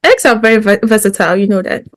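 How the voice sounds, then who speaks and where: A woman's voice: medium pitch, fast. A woman from Ghana on a podcast panel, answering playfully and briefly. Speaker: podcast guest. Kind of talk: casual panel chat.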